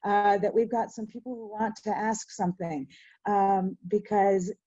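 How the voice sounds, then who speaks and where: An earnest woman hosting an online memorial talk, with a mellow, soft-edged voice. She speaks reflectively and emphatically, leaning on key words. The sound is dull webinar audio from indoors. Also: medium pitch, fairly even intonation, unhurried, dry recording. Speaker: host of an online commemoration.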